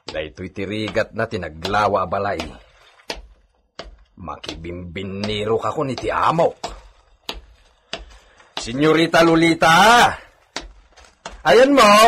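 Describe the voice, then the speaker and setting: An actor playing a fussing, excitable household servant in an Ilocano comedy radio drama, exclamatory, muttering and deferential. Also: male voice, fairly low pitch, a little hiss or hum. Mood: calling out.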